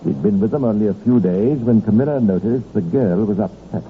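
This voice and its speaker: Low-voiced man narrating vintage crime radio. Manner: measured, formal storytelling. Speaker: narrator and host. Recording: tinny, noisy 1950s radio recording.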